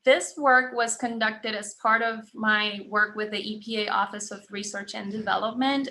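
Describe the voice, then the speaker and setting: Bright-voiced woman from Puerto Rico lecturing. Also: on the high side. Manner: conversational, with fillers and restarts. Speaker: guest lecturer. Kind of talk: academic seminar talk.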